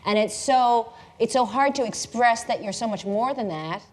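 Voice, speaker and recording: Middle-aged woman, comedian, wry, candid, slightly high voice. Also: normal speed. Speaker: comedian guest. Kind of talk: casual panel chat.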